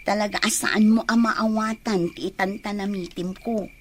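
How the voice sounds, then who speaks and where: A woman's voice: medium pitch, steady tone, moderate pace, slight background noise, lightly acted. A woman playing a heartbroken younger sister in an audio drama, with a Filipino accent. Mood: sullen, low.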